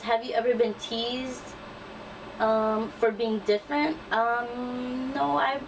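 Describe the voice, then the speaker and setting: A young woman talking casually to her channel in a tag video, relaxed and chatty with frequent ums and restarts. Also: fairly high pitch. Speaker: YouTuber. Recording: distant camera mic, faint music.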